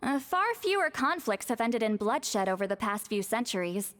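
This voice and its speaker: High-voiced, composed scholarly woman. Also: very animated, fairly bright tone. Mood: thoughtful. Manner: measured, thoughtful, articulate. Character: composed scholarly woman, thoughtful and gently firm. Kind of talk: video game voice acting.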